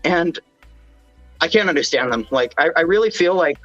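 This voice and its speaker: A man's voice: on the high side, animated, a bit bright, muffled recording, faint music behind. A young man hosting a casual livestream chat, joking and rambling. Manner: joking, rambling, fast talking. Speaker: livestream host.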